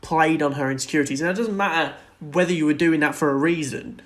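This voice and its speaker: A man's voice: on the high side, fast. A man co-hosting a podcast, casually discussing film and TV in an earnest, opinionated way. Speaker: podcast co-host.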